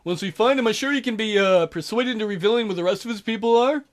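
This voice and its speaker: A man voicing a cold, scheming middle-aged kidnapper boss who threatens politely and calmly in a light, high voice. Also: fast talker, close to an everyday voice. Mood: calm menace. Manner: calm, smooth, quietly threatening.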